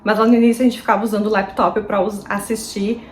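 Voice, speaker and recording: A woman's voice: medium pitch, level tone, fast talker, slight background noise. A young woman from Brazil chatting earnestly to her viewers in a casual advice vlog. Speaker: vlogger.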